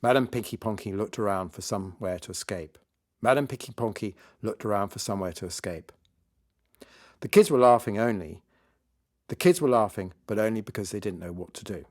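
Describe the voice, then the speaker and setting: A man narrating a children's story in a lively, scripted read-aloud style. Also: on the low side, brisk. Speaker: author reading his children's book.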